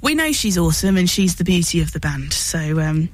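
A woman's voice: fairly low pitch, big pitch swings, fairly quick, no echo. A woman hosting a radio show, interviewing guests casually in a studio. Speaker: radio host.

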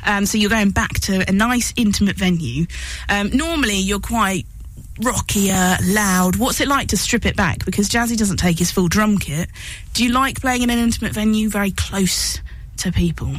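A woman's voice: medium pitch, normal speed, low-quality recording. A woman hosting a radio show, interviewing in a conversational, enthusiastic way. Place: radio studio.

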